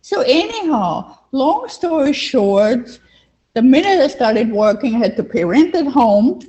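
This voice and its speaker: A woman's voice: high voice, big pitch swings, measured pace, warm tone. An older woman from Vienna with an Austrian accent, a native German speaker, telling her personal story as an interview guest, repeating phrases for emphasis. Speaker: interview guest, life coach. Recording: dull, lo-fi online call audio.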